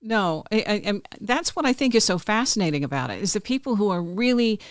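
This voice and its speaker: A podcast host with a smooth, resonant voice, recorded dry and close. The host talks earnestly and in a chatty way, often nodding along with quick agreement. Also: mid-range voice, very animated, no echo. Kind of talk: casual interview chat.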